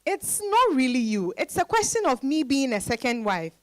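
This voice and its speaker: A woman with a West African accent playing a self-possessed, teasing lover in dramatized novel dialogue, in a measured conversational way. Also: high-pitched, sing-song. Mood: candid.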